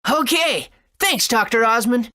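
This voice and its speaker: High, eager adventurer boy. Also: animated, a little slow, bright, forceful tone. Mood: agreeable. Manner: earnest, agreeable. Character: eager, good-natured young adventurer boy. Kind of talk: video game voice acting.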